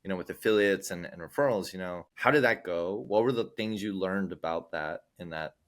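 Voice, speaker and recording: A man's voice: deep voice, a little fast. A man hosting a casual podcast interview, talking in a loose, rambling way with casual swearing. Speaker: podcast host.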